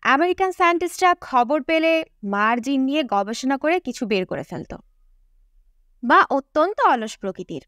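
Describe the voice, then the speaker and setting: High-pitched, animated woman narrating. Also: normal speed, dry recording, clean studio sound. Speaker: audiobook narrator. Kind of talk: scripted novel narration.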